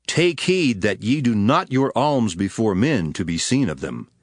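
Solemn deep-voiced scripture preacher. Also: male voice, sing-song, dry recording, clean studio sound. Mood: solemn, emphatic. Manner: declamatory, measured, emphatic. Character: solemn male preacher delivering scripture with authority. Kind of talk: dramatized King James Bible reading.

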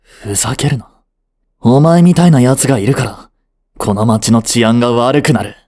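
A man's voice: mid-range voice, normal speed, darkish tone, no echo, studio quality, natural voice. A man acting an aloof, blunt but devoted young boyfriend in a romantic audio drama, curt and intimate. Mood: angry, threatening.

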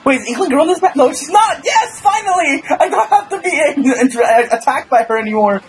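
Giggly rambling gamer, lively voice. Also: male voice, very high voice, fairly quick, soft background music. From Canada. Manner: giggly, rambling, stumbles over words. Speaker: livestream co-host. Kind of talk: casual gaming livestream chat.

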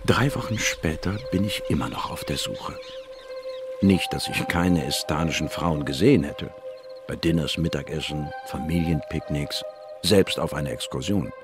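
An actor playing a confident, worldly biologist in a science fiction radio drama, dry and explanatory. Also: male voice, a bass voice, moderate pace. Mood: reflective narration. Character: confident, worldly male biologist and space investigator. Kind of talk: radio drama dialogue and first-person narration. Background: music.

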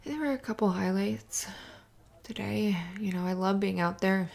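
A woman playing a sweet, flustered young cheerleader in an ASMR roleplay, halting and stammering as she hides that she is upset. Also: mid-range voice, darkish tone, natural voice. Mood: confiding. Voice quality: creaky, often dropping to breathy whispers. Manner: halting, stammering, nervous little laughs. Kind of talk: ASMR roleplay, spoken to the listener.

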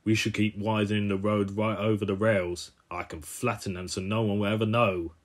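A man's voice: fairly low pitch, little pitch movement, normal speed, lightly acted. A bullying steamroller from a children's cartoon, put on by a man. He blusters and boasts, picking fights with obsessive, combative self-importance. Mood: belligerent. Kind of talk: amateur cartoon character voice reel.

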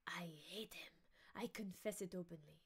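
A woman's voice: fairly high pitch, normal speed, clear, resonant tone, natural voice. A woman voicing a jealous young man in a read-aloud novel, dropping into hissed whispers.

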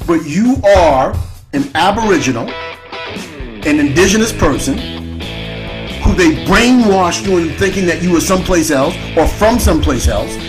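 A man's voice: animated, moderate pace. Man lecturing over faint guitar. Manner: repetitive, rhetorical "right?" tags, sweeping pitch. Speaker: internet radio host. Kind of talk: unscripted political monologue.

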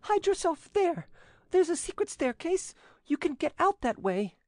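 A frantic young French damsel with a high, breathless voice, as a male narrator puts her on. Her pitch leaps and swoops all over, high above a man's usual range. The recording is close and dry, with no room sound. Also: very animated, a little slow, a little mellow, no room sound, heavily acted. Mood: alarmed. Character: excitable young French damsel, easily frightened. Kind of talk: audiobook reading.

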